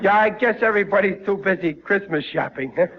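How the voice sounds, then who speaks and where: A man's voice: sits high, brisk. An actor playing a stammering, gullible, easily flustered working-class family man in a 1940s radio comedy. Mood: genial. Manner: stammering, exclaiming, exasperated. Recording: muffled, noisy 1940s radio recording.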